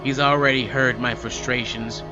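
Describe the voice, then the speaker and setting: Male let's player, mid-pitched, reading game narration aloud with casual asides, clear music bed. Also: steady tone, moderate pace, muffled recording. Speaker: let's play host. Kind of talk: reading a visual novel aloud with casual commentary.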